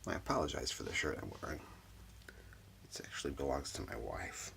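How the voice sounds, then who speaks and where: A man doing soft-spoken ASMR as an energy healer, murmuring soothingly and repetitively in a breathy, gravelly voice, close up in a small room at home. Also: expressive, brisk, slight background noise. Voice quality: whispery, breathy, low and gravelly. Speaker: ASMR performer giving a reiki session.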